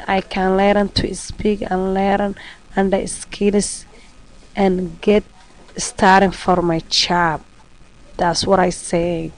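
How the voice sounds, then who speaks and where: A woman who is an adult English learner, speaking in halting, plain phrases. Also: mid-range voice, darkish tone, rough recording.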